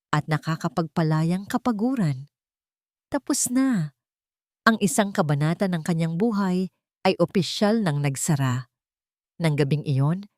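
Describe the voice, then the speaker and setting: A woman narrating a scripted drama story in a measured, deliberate way with dramatic phrasing. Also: lowish voice, a little mellow, dry recording, studio quality.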